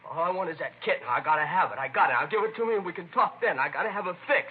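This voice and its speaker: An insolent, desperate American teenage son in a vintage radio crime drama. The voice is fairly high and fast, slangy and insistent, over a thin, hissy old broadcast sound. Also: male voice, breathy edge, phone-quality sound. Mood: desperate, agitated. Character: defiant, desperate eighteen-year-old son craving a fix.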